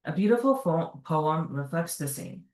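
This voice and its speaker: A woman's voice: low voice, expressive, moderate pace, a little mellow. A woman earnestly reading a prepared speech at a community gathering, correcting herself now and then. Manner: earnest, careful delivery with small self-corrections. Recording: echoey room, mic at a distance.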